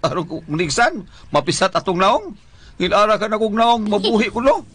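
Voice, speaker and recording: A man's voice: high-pitched, expressive, a little fast, a bit bright, slightly rough, heavily acted. An actor playing a stubborn, sickly old widowed father in a Bisaya comedy radio drama, plaintive and melodramatic, with a Filipino accent. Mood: stubborn, dramatic. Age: older.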